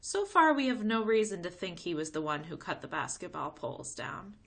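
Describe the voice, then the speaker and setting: A woman's voice: fairly low pitch, very animated, normal speed, vocal fry, fairly bright tone, full character voice. Woman reading as an easygoing policeman dad. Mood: matter-of-fact. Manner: casual, explanatory. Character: easygoing, matter-of-fact father, a policeman. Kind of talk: read-aloud children's storybook.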